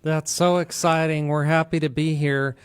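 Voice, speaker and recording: A man's voice: fairly high pitch, flat delivery, moderate pace, clear, resonant tone, dry recording, clean studio sound. An American man hosting a talk radio show, explaining things to listeners. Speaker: radio show host, attorney.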